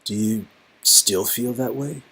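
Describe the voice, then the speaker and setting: A man's voice: mid-range voice, slow, pushed and bright, a little hiss or hum, pitched above the performer's own voice, poor audio. A man acting out an earnest, teasing young man in a romantic game story, encouraging and emphatic. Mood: earnest, tender. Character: earnest, teasing young man comforting his love interest.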